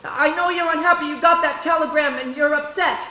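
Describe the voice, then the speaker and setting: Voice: high-pitched, fairly even intonation, brisk, pushed and bright. An actor playing an earnest, polite, dreamy young boy who helps in a shop, in a live radio play. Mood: frightened, pleading. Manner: eager, earnest. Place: stage of a live theater performance. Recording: echoey stage.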